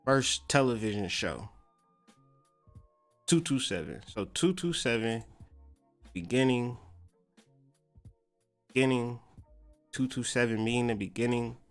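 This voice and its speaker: A man's voice: mid-range voice, measured pace, pauses often, faint music behind. A man hosting a podcast, mixing casual slangy commentary with reading aloud and repeating phrases for emphasis. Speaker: podcast host.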